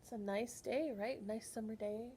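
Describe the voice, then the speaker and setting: A woman reading a picture book aloud to young children as a librarian, animated and teacherly, often pausing to put questions to her listeners. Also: fairly high pitch, breathy edge.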